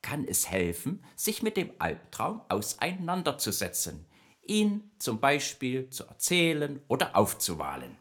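A man's voice: mid-range voice, huge pitch swings, a little slow, fairly bright tone, heavily acted. A man voicing a friendly, chatty nightmare spirit who patiently explains dreams in a children's radio play. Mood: explaining. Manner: explains patiently, evasive then informative.

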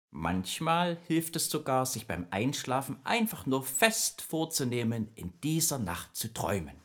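Man voicing a nightmare spirit, friendly, chatty know-it-all, husky, lively intonation, children's radio play. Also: medium pitch. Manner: explains patiently, evasive then informative.

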